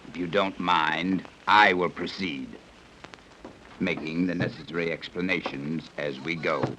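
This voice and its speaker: Very low, stern, formal radio-serial guide. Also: male voice, steady tone, bright, forceful tone. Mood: formal, matter-of-fact. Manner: precise, formal, measured explaining. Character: stern, formal male guide from a hidden advanced civilization. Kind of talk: 1930s radio serial acting. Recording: noisy old 1930s radio recording.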